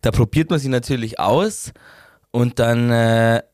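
Relaxed Bavarian man, dry sound. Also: mid-range voice, a little slow, dry recording. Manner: relaxed, rambling, many fillers. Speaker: band's songwriter and guitarist. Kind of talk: casual interview answers.

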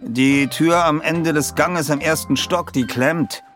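Man putting on a grumbling biker, raised pitch. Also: slow pace, fairly bright tone, faint music behind. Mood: gruff. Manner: mumbling, grumbly. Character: slight, mustached male biker, gruff and curt.

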